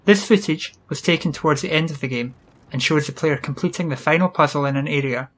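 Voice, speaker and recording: Higher-pitched British man narrating an explainer. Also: fairly quick, a bit bright, slight background noise. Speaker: video series host. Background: music.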